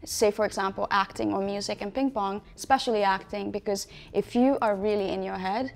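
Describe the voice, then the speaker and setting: Young woman from Nepal, gravelly edge. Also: medium pitch, a little fast. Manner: wry, self-mocking, laughing storyteller. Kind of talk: casual interview chat. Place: dark studio set, indoors.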